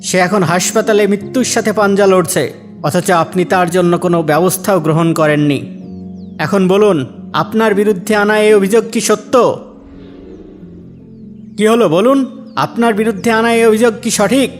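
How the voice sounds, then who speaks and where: A man playing a stern, fair Islamic court judge in an animated moral drama, with formal, declamatory delivery. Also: high voice, moderate pace, long pauses, close to an everyday voice. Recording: faint music behind the voice.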